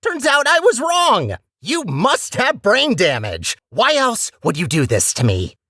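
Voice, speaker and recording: Sarcastic, wildly animated robot villain. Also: male voice, very high voice, unhurried, no echo, clean studio sound, exaggerated voice. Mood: petty, sneering. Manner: sarcastic, theatrical, condescending. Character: talkative male robot personality core, petty, sarcastic, scheming villain. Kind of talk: video game character acting.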